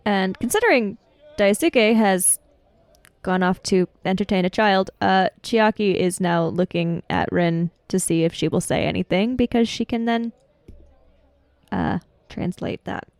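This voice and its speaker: A woman's voice: medium pitch, measured pace, dry recording. A woman improvising casual third-person narration as a player in a tabletop role-playing game.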